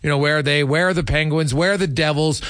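A man's voice: fairly high pitch, steady tone, fast talker, a bit bright, dry recording, studio quality. A man hosting casual sports talk, chatty and rhetorical in the way he talks. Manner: chatty, rhetorical, piles up questions. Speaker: sports radio host.

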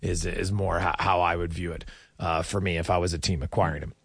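A man's voice: low voice, flat delivery, fairly quick, gravelly, a bit bright, a little hiss or hum. A man hosting a sports radio show, chatting casually about hockey and putting meandering questions to his guest.

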